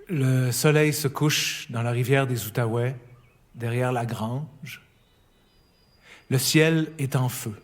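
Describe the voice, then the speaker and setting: Calm Quebec French man reading a letter. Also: mid-range voice. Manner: calm, reflective, intimate. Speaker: letter writer. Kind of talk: reading a personal letter aloud. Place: recording studio. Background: faint music.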